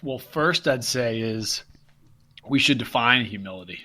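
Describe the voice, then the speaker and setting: A man co-hosting a podcast with a bright, forward tone and mid-range pitch. He sounds a little stuffed up, but he stays upbeat and playful as he explains with images and metaphors. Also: a little slow. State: congested and stuffy. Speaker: podcast co-host. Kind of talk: casual podcast chat.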